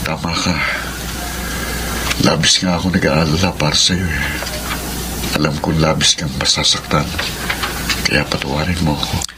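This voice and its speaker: A man's voice: extremely low pitch, level tone, moderate pace, long pauses, background noise. A man with a Filipino accent playing an anguished young man torn between two women, earnest and pleading in a melodramatic radio drama. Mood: remorseful.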